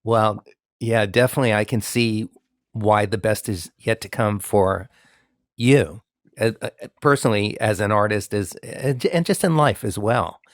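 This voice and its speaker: A man's voice: on the low side, unhurried, no room sound, studio quality. A man hosting an interview, asking long, friendly, rambling questions with many fillers. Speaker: interview host, musician. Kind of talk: conversational interview.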